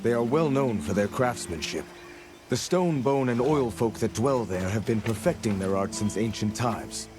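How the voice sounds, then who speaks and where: A man playing a steady, courteous caravan leader with measured, formal phrasing in a video game. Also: medium pitch, over music, close to an everyday voice. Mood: dignified, inviting. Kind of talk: video game cutscene acting.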